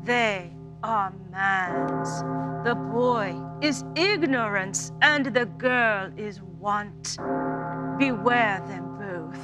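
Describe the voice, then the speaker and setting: A woman's voice: medium pitch, extremely slow, loud music behind the voice. A woman playing a solemn Christmas ghost in a radio play, giving grave and reproachful pronouncements. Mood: solemn warning. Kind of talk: radio play acting of a Victorian story.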